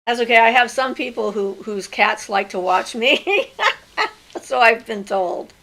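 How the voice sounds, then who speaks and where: Gravelly-voiced older woman. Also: on the high side, measured pace, poor audio. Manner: relaxed and chatty, thinking aloud with fillers. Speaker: host of a magic Q&A livestream. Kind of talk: casual livestream chat, reading viewer questions. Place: home.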